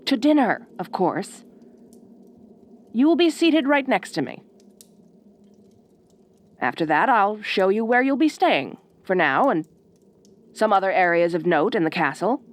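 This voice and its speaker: Ice queen for audio roleplay, female performer: bossy, proud, secretly fond; unhurried, widely swooping delivery, imperious and condescending. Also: mid-range voice, very animated. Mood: commanding, softer. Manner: imperious, formal, drawn-out condescending phrasing. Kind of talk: audio roleplay acting addressed to the listener.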